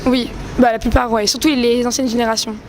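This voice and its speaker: A woman's voice: a little high, little pitch movement, a little fast. A woman in a street interview, answering with some hesitation. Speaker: passer-by. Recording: street crowd noise.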